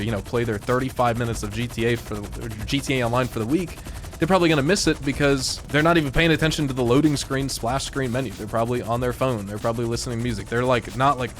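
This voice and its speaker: A young man talks loosely over gameplay at a middle pitch, his voice a little coarse and congested from a cold. He rambles, circles back and fills gaps with "like" and "you know." He sounds relaxed and chatty. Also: a little hiss or hum. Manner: rambling, conversational, many fillers. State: slightly hoarse and congested. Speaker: gaming YouTuber. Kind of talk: casual commentary over gameplay.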